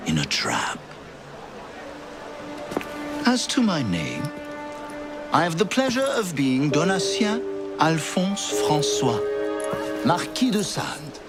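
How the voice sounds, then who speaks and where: Decadent sly aristocrat, theatrical drawl, unhurried, swooping pitch, game cutscene, loud music behind. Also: male voice, wildly swooping pitch, full character voice. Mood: amused, grandiose. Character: flamboyant, sly, decadent aristocrat schemer in revolutionary Paris. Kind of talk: video game cutscene acting.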